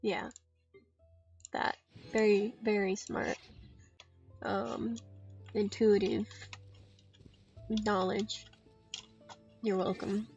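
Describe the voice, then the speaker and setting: A woman giving casual solo commentary on a game stream in a playful, dryly self-deprecating, halting way. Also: mid-range voice, level tone, creaky, darkish tone, soft background music. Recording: dull lo-fi mic with faint noise and clicks.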